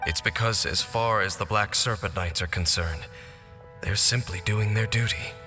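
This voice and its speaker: A man's voice: fairly low pitch, natural voice. A man voicing a grave, solemn ancient knight. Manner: solemn, formal, deliberate. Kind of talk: video game cutscene voice acting. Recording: echoey game cutscene with music.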